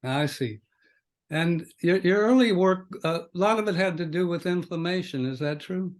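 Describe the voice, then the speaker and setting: An older man hosting a podcast conversation in an unscripted, halting way, as if thinking aloud. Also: high voice. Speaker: podcast host. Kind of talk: conversational scripted-free interview introduction.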